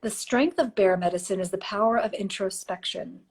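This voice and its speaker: A woman hosting a live stream, chatting and giving oracle card readings, her voice a little hoarse. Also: medium pitch, normal speed. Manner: warm, chatty, reads card texts softly. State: slightly hoarse, losing her voice. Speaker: podcast host and intuitive reader. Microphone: phone or computer mic for a live stream.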